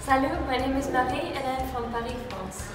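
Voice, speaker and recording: Woman doing a high-pitched, hesitant Parisian woman; long echo from far off, music behind. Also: fairly even intonation, fairly quick, natural voice. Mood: polite. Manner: hesitant, mixes in French words.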